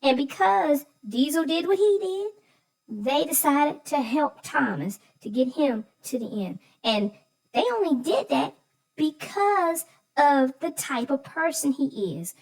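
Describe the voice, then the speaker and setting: A woman with a distinctly high voice, co-hosting a relaxed discussion of a children's story. She is agreeable in conversation and leans on her words for emphasis. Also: slow, low-quality recording. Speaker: co-host. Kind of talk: casual chat about a children's story.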